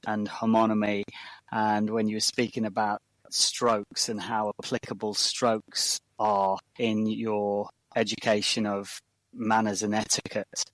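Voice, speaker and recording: A man's voice: on the low side, monotone, measured pace, no echo, poor audio. A man co-hosting a podcast, reflecting earnestly and unhurriedly and then reading the show's outro. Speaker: podcast co-host. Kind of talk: casual reflection and outro announcement.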